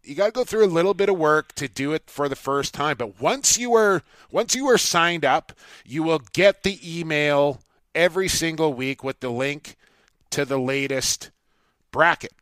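A man's voice: on the high side, moderate pace, a bit bright, no echo, studio quality. A man hosting a sports podcast, chatting casually and colloquially with enthusiasm for the games.

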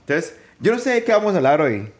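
A man's voice: a little high, big pitch swings, quick pace. A man with a Colombian Spanish accent hosting a casual faith podcast, joking and rambling with many restarts. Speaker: podcast host.

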